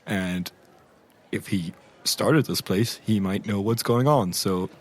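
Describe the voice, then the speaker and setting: A man's voice: fairly low pitch, slow, breathy edge. A man improvising in character as a tabletop player, casually and a little hesitantly reasoning things out. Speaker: player in a tabletop roleplay podcast.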